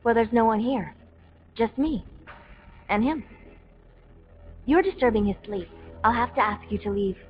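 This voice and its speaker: Self-possessed girl army medic, game role, higher voice, matter-of-fact, slightly muffled. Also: lively intonation, unhurried, long pauses. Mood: calm. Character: calm, self-possessed young girl serving as military medic. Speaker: military medic. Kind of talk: scripted video game voice acting. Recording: muffled lo-fi game audio with music.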